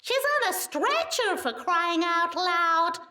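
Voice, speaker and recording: Squeaky, very high lab rat. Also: female voice, big pitch swings, takes it slowly, bright, forceful tone, recorded from a distance, full character voice. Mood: fretful protest. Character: chatty squeaky anthropomorphic lab rat, reasonable and fretful. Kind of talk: audio play acting. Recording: echoey room, off the mic.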